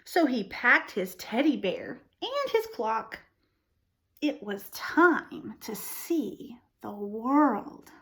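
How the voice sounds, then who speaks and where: A woman reading a picture book aloud to young children, expressively, pausing to explain and ask them questions. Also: fairly high pitch, big pitch swings, a bit bright. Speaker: storytime host reading to children.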